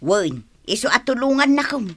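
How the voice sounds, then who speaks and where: A woman's voice: a little high, very animated, moderate pace. A woman acting a bossy, practical wife with a small food business in an Ilocano radio comedy drama. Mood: brisk, insistent.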